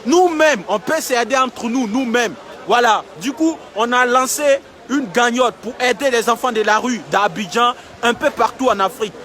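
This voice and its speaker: A man's voice: extremely high pitch, normal speed, few pauses, sharp tone. A young man livestreaming outdoors on his phone, talking emphatically and repeating himself as he urges viewers. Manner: emphatic, repetitive, urging viewers. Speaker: live-streaming vlogger. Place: outdoors in a city street camp. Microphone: phone held while filming.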